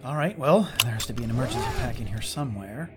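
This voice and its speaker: A man playing a rattled, sarcastic starship chief engineer who mutters haltingly to himself in an audio drama. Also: medium pitch, animated, very noisy, natural voice. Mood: dazed, wry. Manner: halting, self-talking, wry.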